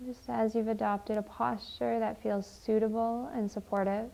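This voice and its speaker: A woman leading a guided meditation in a gentle, measured, deliberate way. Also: a little high, level tone, gravelly, rough recording. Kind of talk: slow, soothing guided meditation and poem reading.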